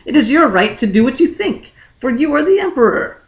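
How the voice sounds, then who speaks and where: A man's voice: extremely high pitch, sing-song, fairly quick, roomy sound, dull audio, pitched above the performer's own voice. A man voicing a devoted, frank young noblewoman in a fantasy audiobook, earnest, formal and persuasive. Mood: insistent. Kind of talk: dramatic fantasy audiobook character reading.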